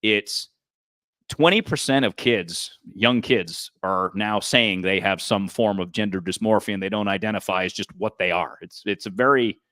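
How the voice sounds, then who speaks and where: A man's voice: fairly low pitch, normal speed, pushed and bright, dry recording. A man co-hosting a podcast, explaining in an earnest, casual political-talk manner. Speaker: podcast co-host.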